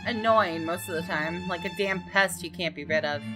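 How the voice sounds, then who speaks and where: A woman's voice: fairly low pitch, normal speed, lightly acted. A woman reading visual novel narration dramatically, voicing the thoughts of an arrogant, menacing gun owner. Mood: arrogant, brooding. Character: haughty, menacing gunman homeowner narrating his thoughts. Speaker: gaming video host. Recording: clear music behind the voice.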